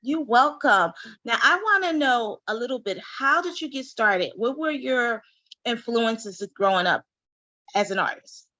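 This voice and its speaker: A woman hosts an interview with a bright, forceful tone and lively enthusiasm. Her voice sounds dull and lo-fi, recorded dry with no room echo. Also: on the high side, unhurried. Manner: enthusiastic, exclamatory reactions. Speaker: show host. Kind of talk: upbeat interview hosting.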